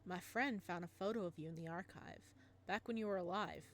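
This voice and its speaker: A woman's voice: fairly low pitch, brisk, slight background noise, natural voice. Woman voicing a flustered young single father. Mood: gentle. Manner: flustered, stammering when nervous. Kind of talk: reading a visual novel aloud.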